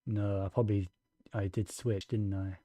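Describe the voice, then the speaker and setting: A man's voice: fairly low pitch, little pitch movement, normal speed, warm tone, no echo. A man giving casual live gameplay commentary, muttering and thinking aloud as he goes. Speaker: game streamer. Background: faint game music.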